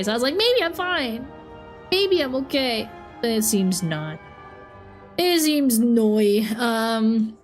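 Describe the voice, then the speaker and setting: A sick, sniffly young woman rambling and giggling in casual livestream chat at home. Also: on the high side. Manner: rambling, giggly, self-interrupting. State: sick with a cold. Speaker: streamer.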